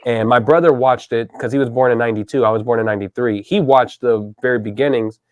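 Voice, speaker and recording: An excited man in his thirties hosts a relaxed podcast chat. He talks easily in a middle range, close to a dry mic with no room sound. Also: mid-range voice, fast talker, no room sound. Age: 30s. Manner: enthusiastic, says dude and man a lot. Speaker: podcast host. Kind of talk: casual interview chat.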